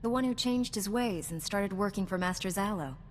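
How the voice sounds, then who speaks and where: A woman's voice: mid-range voice, fairly quick, close to an everyday voice. An actress playing a loyal, caring young female Jedi knight in a video game, speaking in an earnest, reassuring way. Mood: earnest, inquiring. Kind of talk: scripted video game dialogue. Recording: long reverb, clear background noise.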